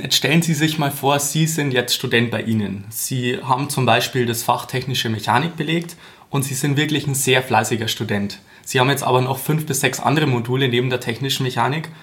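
A man's voice: medium pitch, fairly bright tone. A young man hosting a podcast, greeting listeners and putting carefully phrased questions to a guest. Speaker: podcast host. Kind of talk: podcast intro and interview questions.

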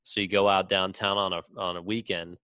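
Young guy cohost on a podcast, lowish voice, real dry sound, opinionated and sweary, just chatting. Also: little pitch movement, brisk, bright, forceful tone, clear, resonant tone, dry recording, muffled recording. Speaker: podcast co-host. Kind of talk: casual podcast debate.

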